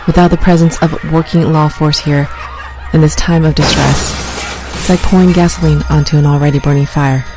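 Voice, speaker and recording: A woman's voice: deep voice, fairly even intonation, fairly quick, music in the background, rough recording. A woman playing a field news reporter in a radio drama, with a dramatic on-the-scene delivery. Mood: urgent, grave. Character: female field reporter at a riot scene, increasingly frightened.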